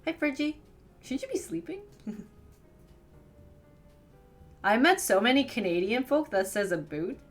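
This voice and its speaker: A woman with a Wisconsin accent from the United States, chatting casually with viewers as a live streamer and firing quick questions back at them. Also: fairly high pitch, sing-song, soft background music. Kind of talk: casual chat answering viewers.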